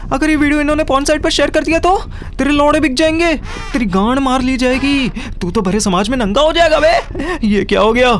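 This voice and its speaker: A man's voice: extremely high pitch, slight background noise. A man playing a cheeky, mocking, foul-mouthed young sidekick in an audio comedy drama. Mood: shocked, mocking. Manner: teasing, blurting, stammers when scared.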